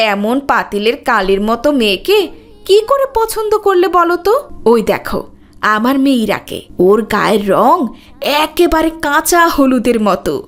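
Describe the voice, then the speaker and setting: A woman's voice: high voice, fairly bright tone. A woman playing a cruel, scheming stepmother in a cartoon drama, scornful and wheedling. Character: cruel, scheming stepmother pushing her own daughter.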